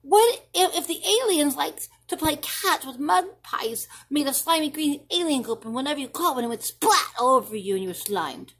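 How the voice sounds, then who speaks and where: A woman's voice: sits high, expressive, normal speed, hardly any pauses, a bit bright, voice pushed up. A woman voicing an anxious, imaginative little schoolboy who rambles through what-if questions in a children's storybook. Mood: eager, wondering. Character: anxious, imaginative little school-age boy pestering his mother. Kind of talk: expressive children's storybook reading.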